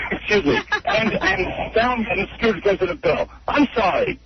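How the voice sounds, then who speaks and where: A man's voice: sits high, expressive, moderate pace. A man in an unscripted radio argument, defending himself in an aggrieved, apologetic way. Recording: dull, noisy radio recording.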